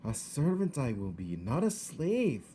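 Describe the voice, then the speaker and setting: A naive, hopeful servant girl of twelve from a storybook, acted out by a man, her voice pitched well up. She speaks in a rustic, exclaiming way, with clear noise in the recording. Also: wildly swooping pitch, unhurried, warm tone. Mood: delighted. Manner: rustic, ungrammatical, exclaiming speech. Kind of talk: storybook character reading.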